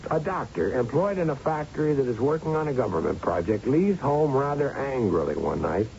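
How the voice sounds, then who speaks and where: An actor playing a determined, insistent young newspaper reporter in a 1970s-style radio drama. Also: male voice, medium pitch, very animated, brisk, creaky, darkish tone. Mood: pressing, determined. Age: young adult. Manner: insistent, pressing, self-assured. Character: dogged, accusing young male newspaper reporter. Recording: dull, noisy old radio recording.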